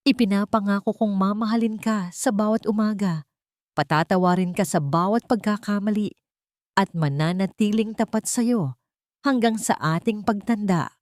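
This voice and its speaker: A narrator, a woman, plays a soft-hearted young woman in love in a romantic audio story. She speaks tenderly with a gentle teasing edge, recorded dry and close. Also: medium pitch, normal speed, no room sound, voice pushed up, lightly acted. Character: soft-hearted young woman accepting her beloved's proposal.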